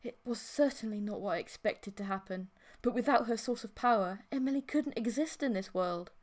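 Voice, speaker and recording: A woman's voice: a little high, fairly quick. A woman reading a first-person story as an audiobook narrator, with dramatic scripted delivery.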